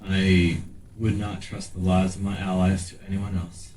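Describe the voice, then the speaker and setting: A man voicing a solemn, dutiful warrior who speaks slowly and formally in a tabletop game. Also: low-pitched, little pitch movement, darkish tone, lightly acted. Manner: slow, formal, grave. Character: solemn, dutiful male warrior standing guard. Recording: roomy, distant mic with faint noise.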